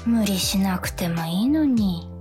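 Woman playing a slow, languid temptress demon. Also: medium pitch, expressive, faint music behind, close to an everyday voice. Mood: gently persuasive. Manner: soft, drowsy, coaxing. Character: languid, gently tempting female demon of post-holiday blues. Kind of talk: radio drama acting.

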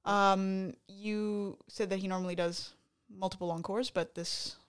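A woman's voice: medium pitch, flat delivery, measured pace, clear, resonant tone. A woman co-hosting a podcast, chatting casually about a show with a playful, dryly teasing manner. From Canada. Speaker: podcast co-host. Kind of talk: casual chat, recounting a concert.

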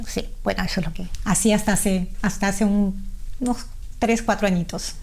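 A woman's voice: mid-range voice, steady tone, normal speed, creaky, a little mellow. Chatty Peruvian woman guest. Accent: Peruvian Spanish. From Peru. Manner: chatty, with frequent fillers and restarts. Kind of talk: casual interview chat.

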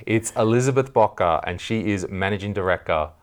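A man's voice: on the low side, a little fast. A man hosting a casual chat in a hotel room, swearing and telling mock-exasperated jokes. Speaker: comedian host.

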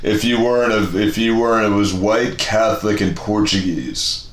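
A man's voice: normal speed, echoey room. A man in his thirties hosting a casual conversation, laid-back and rambling, with plenty of slang and fillers. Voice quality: low voice. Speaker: podcast host.

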